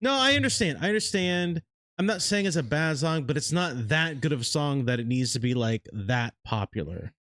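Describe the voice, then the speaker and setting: A man with a deep, grizzled voice chatting casually as a podcast co-host, blunt and profane, breaking into loud excited outbursts. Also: moderate pace, dry recording, clean studio sound. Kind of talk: casual chat.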